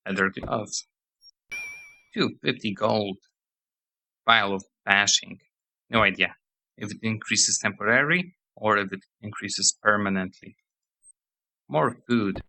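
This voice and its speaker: A man giving rambling let's-play commentary on a game, hoarse as he loses his voice, reading menus and game text aloud. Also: fairly low pitch, slow pace, pauses often, sharp tone, dry recording, poor audio. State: hoarse, losing voice. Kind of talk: casual gameplay commentary.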